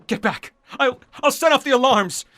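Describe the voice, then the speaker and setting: Jumpy young thief in a radio drama, high sharp voice, stammery and desperate. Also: male voice, normal speed. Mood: panicked pleading. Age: young adult. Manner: stammering, pleading. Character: panicky, desperate young male would-be thief.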